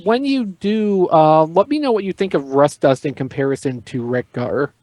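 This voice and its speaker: Higher-pitched man, casual and dry-sounding. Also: lively intonation, moderate pace, darkish tone, no room sound. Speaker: podcast panelist. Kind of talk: casual hobby chat.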